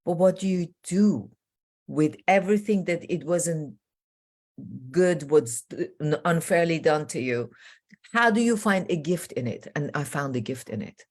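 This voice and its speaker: Emphatic woman in her sixties, lower-pitched. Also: animated, unhurried, darkish tone. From Iran. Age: 60s. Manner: emphatic, declarative, repeats key phrases. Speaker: transformation coach and guest. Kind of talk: personal storytelling in a conversation.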